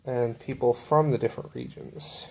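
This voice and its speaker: A man showing off books from his shelf in a relaxed, rambling way with lots of fillers. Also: mid-range voice, normal speed, vocal fry. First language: English. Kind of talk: casual show-and-tell.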